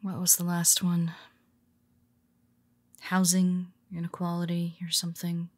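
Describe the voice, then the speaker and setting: Tired superhero woman, voiced by a woman, wry and teasing, unhurried delivery, dry close sound. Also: lowish voice, monotone, no room sound. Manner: teasing, soft-spoken, slips into whispers. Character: weary teasing female superhero, nemesis. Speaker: audio roleplay performer. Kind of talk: intimate audio roleplay.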